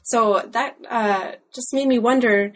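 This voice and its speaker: A woman's voice: on the high side, fairly even intonation, measured pace, a bit bright. A woman interviewing an author, asking careful, thoughtful questions about his book.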